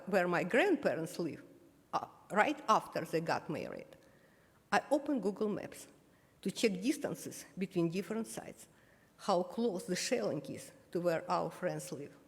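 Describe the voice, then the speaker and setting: A woman's voice: on the low side, animated. Older woman, reverberant hall. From Ukraine (Kyiv). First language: Russian. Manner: measured, solemn, small slips of the tongue. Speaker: guest speaker at remembrance ceremony. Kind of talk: read personal reflection.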